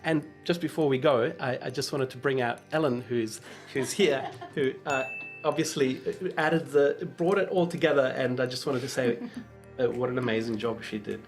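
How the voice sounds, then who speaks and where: Man hosting an interview, halting delivery, faint music. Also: mid-range voice, fairly even intonation. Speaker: podcast host, interviewer. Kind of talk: casual interview conversation.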